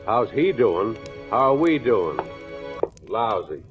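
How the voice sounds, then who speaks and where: An actor playing a skeptical, bullying police captain in a 1940s radio crime drama, sardonic and gruffly commanding. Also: male voice, on the low side, very animated, takes it slowly. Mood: weary, brooding. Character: hard-boiled male police captain, skeptical, bullying interrogator. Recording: dull old radio recording with music.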